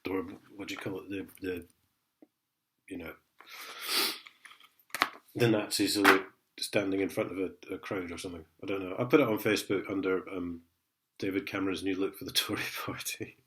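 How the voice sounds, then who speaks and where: A man's voice: low voice, steady tone, normal speed, long pauses, bright, forceful tone, slight background noise. A man with a sniffly head cold, chatting in a sarcastic vlog and chuckling as he reads letters aloud. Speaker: vlogger. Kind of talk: casual ranting vlog.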